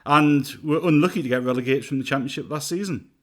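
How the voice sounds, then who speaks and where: A man's voice: fairly high pitch, quick pace. Thoughtful man analysing on a remote call. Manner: thoughtful, rambling analysis with many fillers. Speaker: podcast co-host. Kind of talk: casual football podcast chat.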